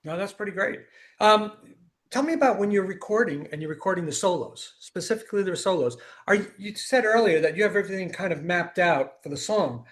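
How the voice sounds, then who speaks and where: A man with a fairly high-pitched voice acts as interviewer. He puts questions and comments in a casual, conversational style. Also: fairly quick.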